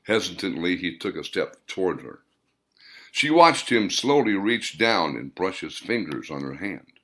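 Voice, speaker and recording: A man narrates a fiction audiobook in a low voice. His delivery is deliberate and broken into short phrases, with lively, wide-ranging intonation. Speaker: author reading own audiobook.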